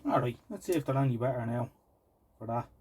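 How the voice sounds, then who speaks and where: An Irish man with a mid-pitched voice talks casually through a hands-on repair. He is informal and unhurried, half muttering to himself. There is some faint noise behind him. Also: lively intonation. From Ireland. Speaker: presenter of a vintage radio repair channel.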